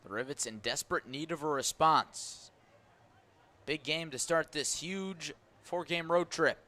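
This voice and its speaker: A man calling a baseball game play by play for radio, steady and brisk as he tracks each pitch. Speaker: play-by-play announcer.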